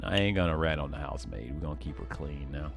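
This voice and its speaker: A man's voice: rumbling low, fast, vocal fry, over music. A man live streaming a game, chatting casually with viewers in a folksy, rambling way, often repeating words.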